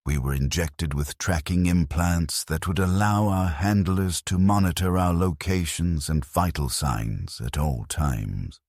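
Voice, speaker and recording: Man voicing a very deep, grim condemned convict. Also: darkish tone, no room sound, clean studio sound. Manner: solemn, deliberate, bleak. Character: grim, embittered condemned convict soldier recounting his ruin. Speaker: storyteller. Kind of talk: scripted dramatic narration.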